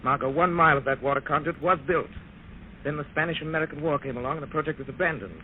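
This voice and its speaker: A man playing a grave, confident detective in 1940s radio drama style, speaking deliberately and with ominous emphasis. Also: a little high, steady tone, close to an everyday voice. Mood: earnest, explaining. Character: grave, confident male detective explaining his deductions. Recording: tinny, noisy old-time radio recording.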